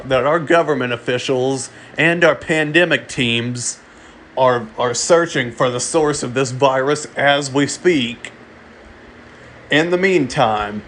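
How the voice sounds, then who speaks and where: A man delivering a mock emergency alert in a halting way, often repeating and restarting his phrases. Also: medium pitch, a bit bright, slight background noise. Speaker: amateur narrator of a fictional emergency broadcast. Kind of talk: mock emergency alert announcement, semi-improvised.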